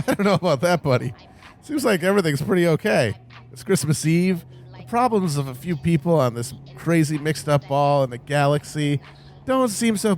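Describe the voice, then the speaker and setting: A man playing a placid, cheerful, oblivious cab driver with a folksy, easygoing manner in a radio-play parody. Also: on the high side. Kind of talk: parody of old-time radio drama. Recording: car noise behind the voice.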